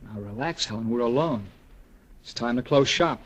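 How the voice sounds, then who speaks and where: A man's voice: medium pitch, expressive, moderate pace, natural voice. A man playing a patronizing but reassuring doctor in old-time radio drama, conversational, soothing and condescending. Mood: soothing, amused.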